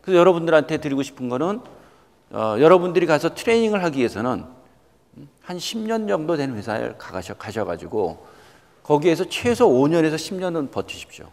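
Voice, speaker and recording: A man in his fifties speaks as a guest lecturer in a reverberant hall. His pitch moves in lively sweeps. He is emphatic, repeats his main points and turns questions directly on his listeners. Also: fairly quick, vocal fry. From South Korea. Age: 50s. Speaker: guest lecturer, company executive. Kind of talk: motivational lecture to students.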